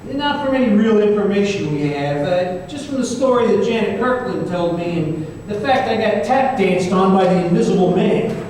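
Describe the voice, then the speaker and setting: Man playing a high-voiced, weary radio detective, echoey hall. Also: lively intonation, normal speed, a little mellow. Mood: weary, wry. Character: world-weary, sardonic, paranoid male private investigator, narrating his case. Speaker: narrator and lead detective. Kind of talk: old time radio drama performance. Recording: very echoey hall, distant mic, faint noise.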